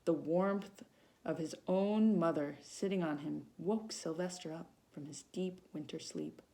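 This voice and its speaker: A woman volunteer reads a children's picture book aloud in an expressive, storytelling manner. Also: on the low side, a little slow. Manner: dramatic emphasis on feelings, with short asides to young listeners.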